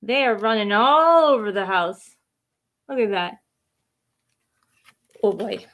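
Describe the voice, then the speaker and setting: A woman's voice: mid-range voice, expressive, slow pace, fairly bright tone. A woman hosting children's story time, reading a storybook expressively with simple asides and questions for young listeners.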